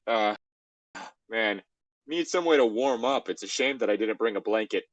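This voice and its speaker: Low-voiced man bantering on a podcast. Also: animated, moderate pace, no room sound, lo-fi sound. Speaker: podcast host. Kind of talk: casual comedic banter while reading fan fiction aloud.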